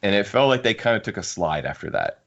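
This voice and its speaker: Low-voiced man in halting podcast chat. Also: wildly swooping pitch, creaky, a bit bright, highs cut off. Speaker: podcast host. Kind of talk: casual book discussion among friends.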